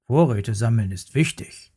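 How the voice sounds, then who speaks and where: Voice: mid-range voice, animated, unhurried, warm tone, husky. A storyteller voicing a sensible mother bear who speaks firmly in a children's story. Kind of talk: storybook character voice.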